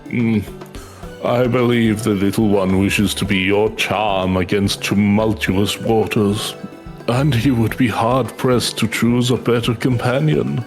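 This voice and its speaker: A man voicing a formal, kindly delegation official in a fantasy game, with measured courtly phrasing. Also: on the low side, fairly even intonation. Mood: gracious, benevolent. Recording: game music behind the voice.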